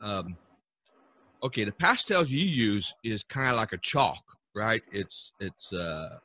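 A man's voice: lowish voice, very animated, slow pace, sharp tone, no room sound. A man hosting a podcast in casual, rambling, chatty conversation with artist friends. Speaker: podcast host. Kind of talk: casual chat among artists.

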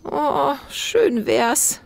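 A cheerful, affectionate old grandmother from a children's audio story, as a woman narrator puts her on. She speaks playfully, with raised pitch and animated swings. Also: drawn-out words, creaky, slight background noise, heavily acted. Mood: amused resignation.

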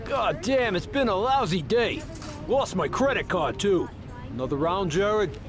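High-voiced, weary diner bartender. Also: male voice, lively intonation, a little slow, music in the background. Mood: grumbling. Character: weary, grumbling, nervous male diner bartender. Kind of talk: video game voice acting.

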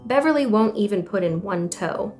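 A young woman reading stories and poems aloud to children, animated and clearly enunciated, with questions for her young listeners. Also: medium pitch, moderate pace, soft background music. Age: young adult. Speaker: children's story time host and reader. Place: small indoor room at home.

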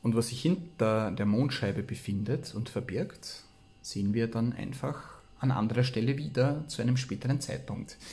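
A man's voice: medium pitch, moderate pace, gravelly, a little mellow. A man, hosting, reading aloud and commenting casually. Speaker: host. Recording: roomy, echoey room sound.

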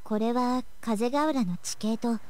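A woman's voice: fairly high pitch, brisk, warm tone, lightly acted. An actress playing a composed, matter-of-fact senior schoolgirl who instructs in a visual novel game. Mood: calm, instructive. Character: composed, businesslike anime senior schoolgirl, glider builder. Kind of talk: Japanese visual novel voice acting. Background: game music.

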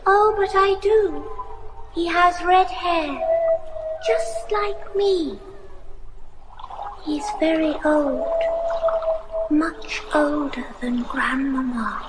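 A woman's voice: sits high, loud music behind the voice. A woman playing a serene, detached, eerie young girl in a gothic horror radio drama. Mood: eerie calm. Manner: slow, deliberate, detached. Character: eerie, serene young girl talking of her dead father.

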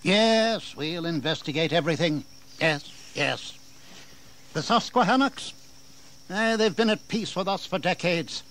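High-voiced, indignant colonial governor. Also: male voice, animated, fairly bright tone. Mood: sarcastic, exasperated. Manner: oratorical, emphatic, deliberate. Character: indignant colonial governor of Virginia, authoritative, bitterly sarcastic. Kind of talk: dramatized historical audio drama. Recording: 1980s audio drama with background noise.

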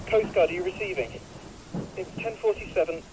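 Rain and thunder crowd in on a high-voiced man in a horror audio drama, played by an actor. He is a despairing sailor making distress calls, panicked and pleading, as the storm clearly muddies the recording. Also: brisk, lightly acted. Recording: noisy radio-style recording, rain and thunder.

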